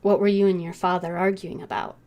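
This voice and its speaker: A woman livestreaming a game, chatting casually and thinking aloud in a halting way. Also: on the low side, little pitch movement, darkish tone. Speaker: game streamer.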